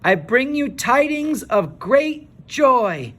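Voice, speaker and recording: A man's voice: very high-pitched, sing-song, slow, fairly bright tone, pitched above the performer's own voice, heavily acted. A man voicing an earnest, eager young girl in a children's storybook read-aloud. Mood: joyful proclaiming. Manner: earnest, wide-eyed, eager.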